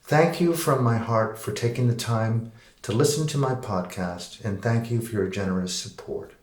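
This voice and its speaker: A man with a low-pitched voice speaks as a meditation teacher and podcast host. His delivery is that of someone addressing listeners directly. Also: moderate pace, a little mellow, roomy sound.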